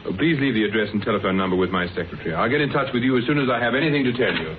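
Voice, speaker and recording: An actor in a radio drama playing a courteous, unflappable detective with a dry way of questioning. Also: male voice, medium pitch, close to an everyday voice. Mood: calm, considering. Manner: calm, measured questioning, dry. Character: unflappable, dryly courteous male private detective. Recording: tinny band-limited radio recording with hiss.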